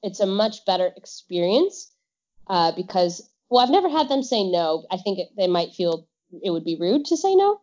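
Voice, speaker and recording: A woman's voice: medium pitch, big pitch swings, moderate pace, darkish tone. A woman who teaches English, chatting casually as a podcast guest and laughing often. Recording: dull lo-fi audio.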